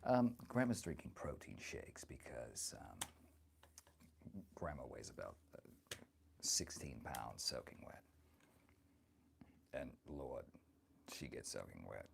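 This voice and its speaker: Guy doing a doting dirty-minded old granny on stream, super low n gravelly, slow halting rambles, calls u honey. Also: sing-song, slight background noise, heavily acted. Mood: indignant, bawdy. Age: older. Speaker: live streamer in character. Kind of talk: improvised comic chat with live stream viewers.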